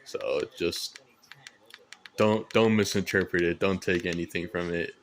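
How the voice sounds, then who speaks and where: Man, American accent, lowish pitch, monotone, unhurried, casual hobby presenting. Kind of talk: casual show-and-tell of a collection.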